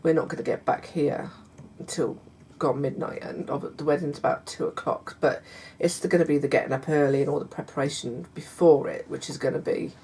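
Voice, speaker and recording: A middle-aged woman, chatting casually to her viewers in a croaky voice, hesitant with many fillers and restarts. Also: low-pitched, a little hiss or hum. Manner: warm confiding tone toward viewers. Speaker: vlogger. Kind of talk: casual chat to camera.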